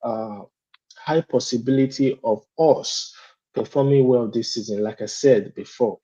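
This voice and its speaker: A man sharing his opinion as a guest fan in a call-in discussion, speaking deliberately and often checking in with "you understand?". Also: mid-range voice, dark tone, slightly rough, muffled recording.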